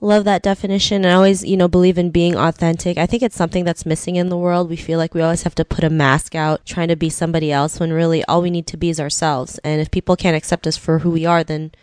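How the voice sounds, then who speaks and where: A woman's voice: on the low side, little pitch movement, fast, few pauses, a little mellow, clear, resonant tone, dry recording, studio quality. A woman hosting an interview podcast, talking conversationally with frequent "you know" filler phrases. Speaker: podcast host.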